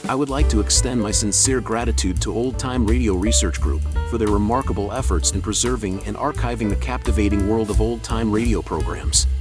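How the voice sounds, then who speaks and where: A man reading formal scripted narration for a channel. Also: lowish voice.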